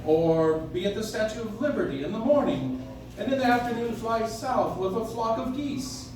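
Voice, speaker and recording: Kindly, whimsical old gent played by a man for a stage radio play; high-pitched, jolly, distant noisy recording. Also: lively intonation, moderate pace. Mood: whimsical, patient. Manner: jolly, encouraging, old-fashioned exclamations and chuckles. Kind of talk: live stage performance in 1940s radio-play manner. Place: live stage in a coffee house. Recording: noisy, echoey audience recording from far off.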